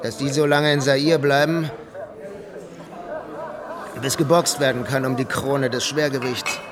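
An actor playing a cynical male journalist at a bar in a radio drama, amid loud chatter. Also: medium pitch, steady tone, unhurried, natural voice. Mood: knowing, cynical. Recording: loud crowd chatter behind.

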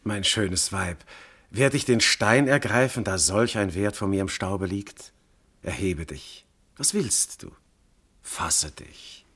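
An actor playing a commanding, seductive god posing as a noble husband, declaiming classical radio verse in a theatrical, grandiose manner. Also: male voice, lowish voice, takes it slowly, a bit bright, breathy edge, full character voice. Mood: soothing. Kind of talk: classical German stage verse in a radio play.

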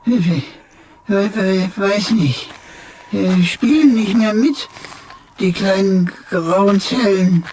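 Woman acting ailing computer sidekick, cheeky, whiny, very slow stammering delivery, theatrical lament, radio drama, faint background noise. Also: medium pitch, a little mellow, close to an everyday voice. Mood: confused, failing. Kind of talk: German radio play acting.

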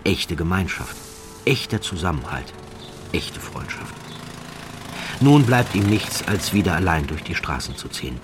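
A man narrating a literary story from a script in a measured, dramatic way with deliberate pauses, close to the microphone. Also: sits low, very animated, over music. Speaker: audiobook narrator.

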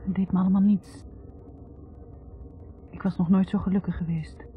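A woman's voice: mid-range voice, flat delivery, moderate pace, faint music behind, lightly acted. An actress playing a self-assured, independent young woman recalling her love in a 1960s radio drama. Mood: wistful, content. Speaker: actor in a radio play. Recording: thin, phone-like 1960s radio recording.

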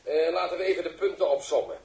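An actor playing a fussy, bossy quiz host in a 1960s radio drama, formal and officious. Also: male voice, on the high side, steady tone, quick pace, darkish tone, natural voice. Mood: officious, insistent. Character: fussy, bossy male TV quiz host directing a staged scene. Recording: roomy old radio recording.

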